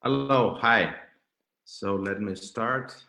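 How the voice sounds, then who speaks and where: A roomy, echoing video-call recording of a man with a Lithuanian accent. His voice sits fairly low as he presents an academic lecture online, haltingly, doubling back on words. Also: gravelly, sharp tone. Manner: halting, repeats words and restarts phrases. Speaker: dental professor and clinician giving a lecture. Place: indoors, remote video call.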